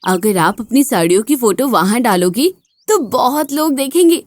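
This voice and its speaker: A woman's voice: fairly high pitch, expressive, moderate pace. A woman playing an eager, helpful young neighbour in a moral-story cartoon, talking encouragingly.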